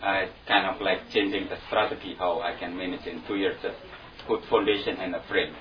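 A man with an Indonesian accent speaks in a very low voice, pausing and restarting as he recalls his volunteer placement. He sounds set back from the microphone. The sound is dull, with a faint hum behind him. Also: steady tone. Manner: hesitant, restarts, non-native phrasing. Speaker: returned volunteer. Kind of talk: informal talk to an audience. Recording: distant, dull mic with faint noise.

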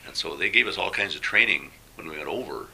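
An older man talking about his past work in a relaxed, reflective conversational interview. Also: low-pitched, fast talker, creaky, a little hiss or hum, low-quality recording.

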